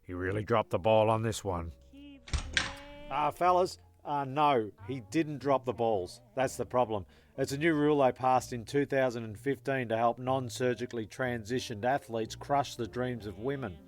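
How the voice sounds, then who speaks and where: A man's voice: medium pitch, moderate pace, lightly acted. A man playing a grumpy, rambling, foul-mouthed narrator of a comic audio serial, stumbling over his words. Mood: irritated. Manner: stumbling, cynical, sweary.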